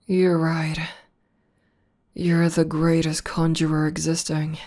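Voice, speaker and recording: Casting: woman voicing tomboy demon girlfriend, ASMR roleplay. Deep-set, relaxed tone, slow intimate delivery, fond and playful. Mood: fond teasing. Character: buff tomboy demoness girlfriend, affectionate and teasing. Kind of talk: ASMR roleplay audio spoken to the listener.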